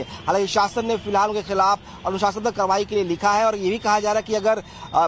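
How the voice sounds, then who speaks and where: A man's voice: high voice, a little hiss or hum. A man delivering a television field news report as a reporter.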